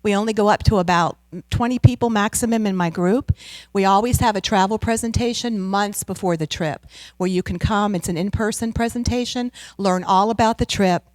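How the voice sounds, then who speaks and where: A middle-aged American woman giving a loud, upbeat seminar talk as a guest speaker into a handheld microphone. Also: medium pitch, a little fast, no echo, poor audio. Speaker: guest speaker, travel business owner. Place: community center room.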